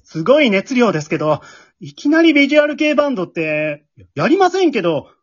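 A baffled teenage schoolboy played by an amateur performer in a comic radio play, blunt and incredulous. The pitch jumps around in lively reactions. The recording is a little dull and lo-fi. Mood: startled, baffled. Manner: incredulous, quick deadpan retorts. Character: bewildered, blunt male high school student, straight man. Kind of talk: amateur comedic radio drama acting.

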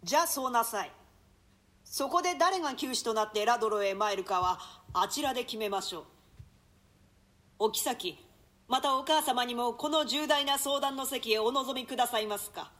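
A woman playing a scheming male royal villain in a classical tragedy, with measured, mock-courteous, archaic speech. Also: a little high, a bit bright, close to an everyday voice. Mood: coolly decisive. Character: scheming royal brother, feigning piety, sardonic villain. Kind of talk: theatrical classical Japanese play reading.

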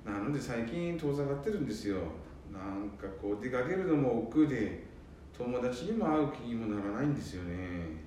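A man's voice: expressive, moderate pace, dark tone, natural voice. A man playing a weary, dutiful eldest son with a Nanbu accent in an amateur radio drama. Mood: evasive, tired. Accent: Nanbu dialect of northern Japan. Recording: very echoey, distant mic, faint rumble.

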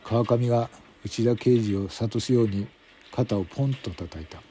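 A man narrating a scripted mystery radio drama in measured, separate phrases. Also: medium pitch, mellow, faint music behind.

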